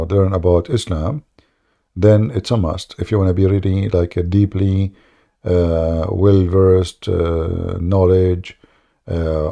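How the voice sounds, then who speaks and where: Gravelly low-voiced man lecturing earnestly. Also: mellow. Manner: earnest, lecturing, speaks English as a second language. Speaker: host. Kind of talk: casual chat answering a caller.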